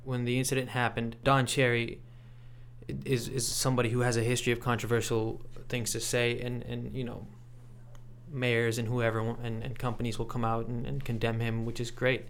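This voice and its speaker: A man giving reflective interview commentary as a podcast guest, in a measured, thoughtful and halting way. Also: mid-range voice, monotone, slight background noise. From Canada. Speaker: podcast guest, sports reporter.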